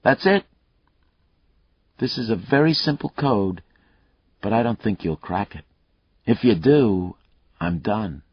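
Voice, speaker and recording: A man's voice: lowish voice, slightly rough, dry recording, highs cut off, pitched above the performer's own voice, heavily acted. A male narrator voicing a taunting, unhinged fugitive killer in an audiobook, with a crude, swaggering manner.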